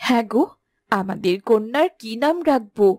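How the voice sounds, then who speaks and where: Woman as an expressive, emotional village mother. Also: on the high side, normal speed, noisy background, natural voice. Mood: tender joy. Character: poor fisherman's wife, tender, devoted adoptive mother. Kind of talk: Bengali fairy-tale cartoon acting.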